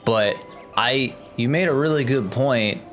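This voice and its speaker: A man playing in a tabletop game, thinking out loud with some hesitation. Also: mid-range voice, measured pace, faint music behind. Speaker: player. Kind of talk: tabletop roleplay chat.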